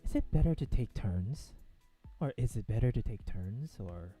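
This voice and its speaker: A husky-voiced man chatting casually and jokingly as co-host of a game read-aloud, using slang. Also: on the low side, expressive, normal speed, dark tone. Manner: slangy, joking, repeats lines. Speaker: let's play co-host voicing game characters. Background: background game music.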